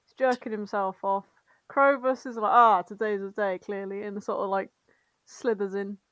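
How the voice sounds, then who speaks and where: A woman's voice: mid-range voice, fairly even intonation, moderate pace, fairly bright tone, clean tone, dry recording. A woman co-hosting a podcast, retelling fan fiction in a giggly, sweary, informal comedic chat. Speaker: podcast co-host.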